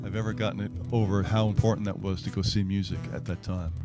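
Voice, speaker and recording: A man's voice: deep voice, steady tone, quick pace, loud music behind the voice. A man, a performing singer-songwriter, talking casually between songs in a wry, self-deprecating way. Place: live-streamed music show at a venue.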